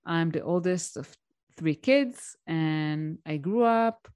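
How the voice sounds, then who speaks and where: A woman's voice: low-pitched, expressive, slow, darkish tone, smooth, no echo. A woman, answering interview questions in a plain, reflective way over a remote call. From Israel. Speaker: guest, tech mentor.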